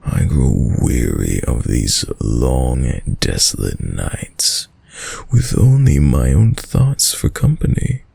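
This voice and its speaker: A man playing a dominant, sadistic vampire lord in an intimate audio roleplay, speaking in a deep, breathy, gravelly voice with courtly, teasing menace. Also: very animated, dark tone, no room sound, heavily acted. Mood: commanding, seductive. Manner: slow, silky, formal, teasing menace with drawn-out pauses.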